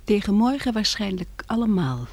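A frank young woman in a radio play. Her voice is breathy and husky, at an ordinary female pitch, recorded close and dry. She talks intimately, with a wry, teasing candour. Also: sing-song, normal speed, no echo. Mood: frank, relaxed. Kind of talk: intimate radio drama dialogue.

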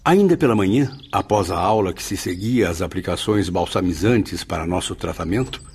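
A man's voice: low-pitched, lively intonation, moderate pace, a little mellow, husky, heavily acted. A man acting as a solemn spirit who narrates a radio drama in the first person. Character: solemn male spirit patient recounting his story.

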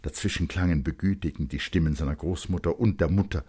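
A man narrating literary fiction in a dramatic, expressive and measured storytelling style. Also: sits low, husky.

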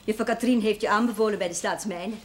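A woman's voice: medium pitch, fast, close to an everyday voice. An actress playing an exasperated, nagging mother of a grown idle son in a television period drama. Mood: scolding. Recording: faintly noisy 1970s television soundtrack.

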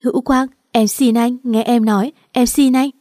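Narrator as a desperate, pleading wife. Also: female voice, on the high side, steady tone, moderate pace, dark tone, no room sound, clean studio sound, voice pushed up, close to an everyday voice. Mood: pleading, terrified. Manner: raised, urgent begging. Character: desperate, submissive wife and mother pleading for mercy.

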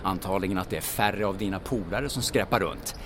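Man playing deep-voiced sardonic traveller, noisy station. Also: lightly acted. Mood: dry defiance. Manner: dry, insolent, mock-polite. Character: sardonic male auction-house clerk, defiant traveller under occupation. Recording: noisy urban station with vehicles.

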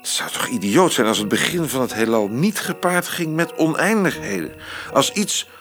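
An actor playing a drunk, brooding astronomer who thinks aloud in a halting, rambling radio drama monologue. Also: male voice, mid-range voice, very animated, fairly bright tone, soft background music. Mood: excited revelation. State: tipsy.

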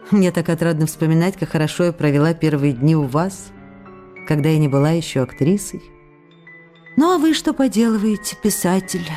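An actress playing an affectionate, teasing, wistful woman of the theatre reading her letters aloud in an intimate, expressive radio drama style. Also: lowish voice, close to an everyday voice. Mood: melancholy. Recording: music behind the voice.

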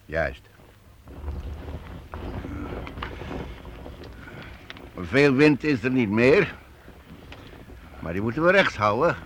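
Calm, commanding kindly master. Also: male voice, medium pitch. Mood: decisive. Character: calm, kindly master leading a small travelling party. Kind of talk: radio play acting. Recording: noisy old radio play recording.

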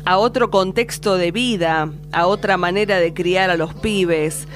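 A woman's voice: lowish voice, a little slow. A woman with an Argentine accent hosting a radio show with reflective commentary on folk music. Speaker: radio host. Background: faint music.

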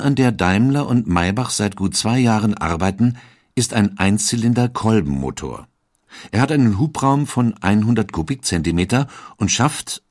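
A man narrating a documentary audiobook in a calm, explanatory manner. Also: on the low side, dry recording, clean studio sound.

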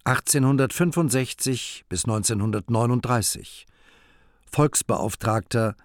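A man's voice: medium pitch, a little slow, no room sound, studio quality. A man narrating a radio play in a factual, clipped, documentary announcing style. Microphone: close dry studio mic.

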